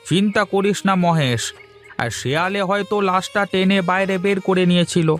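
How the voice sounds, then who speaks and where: A man plays a cartoon village neighbour with a fairly high voice. He is reassuring and dismissive of worries, urging others along over faint background music. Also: normal speed, fairly bright tone. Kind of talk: cartoon dialogue.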